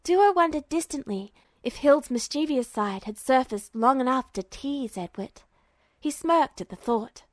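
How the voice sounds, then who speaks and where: A woman's voice: sits high, expressive, a little slow, dry recording, studio quality. A woman reading a fiction story aloud as a scripted narrator. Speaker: podfic narrator.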